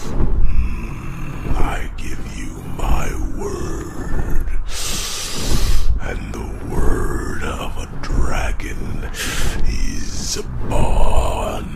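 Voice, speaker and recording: A man voicing an ancient, weary male dragon in an ASMR fantasy roleplay, solemn, formal and protective, with a gravelly, breathy growl. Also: very noisy. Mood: solemn, resolute. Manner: slow, solemn, formal, halting phrases.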